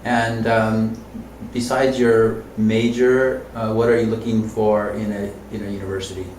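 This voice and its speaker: A man interviewing a student, patient and soft-spoken with gentle murmured backchannels. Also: lowish voice, little pitch movement, a little slow, a little hiss or hum. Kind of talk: casual interview. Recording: roomy, distant mic.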